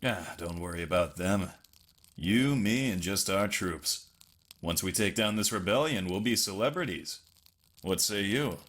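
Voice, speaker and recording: Man playing a low-voiced confident young soldier. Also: lively intonation, measured pace, fairly bright tone. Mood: cocky. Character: confident, ambitious young male imperial soldier. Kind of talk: video game fan dub acting.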